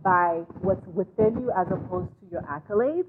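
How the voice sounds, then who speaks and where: A Haitian American woman from New York, a guest life coach speaking earnestly in measured, spiritual phrasing on a talk show. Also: on the low side, expressive. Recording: tinny phone line with noise.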